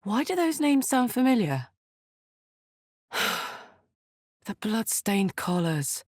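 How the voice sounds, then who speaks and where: A fiery tiefling warrior woman for a fantasy game. She speaks slowly and bluntly, wearing her big heart openly. Her pitch moves freely up and down, and the sound is dry with no room around it. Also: big pitch swings, darkish tone, no echo, exaggerated voice. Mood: casual, ready. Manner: blunt, informal, emotionally open soldier's talk. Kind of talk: video game character voice acting.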